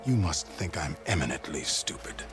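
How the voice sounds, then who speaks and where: An actor playing a coldly sceptical commander in a video game, with formal, measured, menacing delivery. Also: male voice, extremely low pitch, sing-song, over music. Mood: cold, threatening. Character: coldly sceptical male commander threatening his hearers. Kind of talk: video game cutscene acting.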